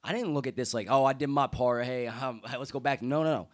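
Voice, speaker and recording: An earnest young man in casual conversation. He talks as a guest, and his mid-range voice climbs into loud, excited stretches when he gets fired up. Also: mid-range voice, brisk. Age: young adult.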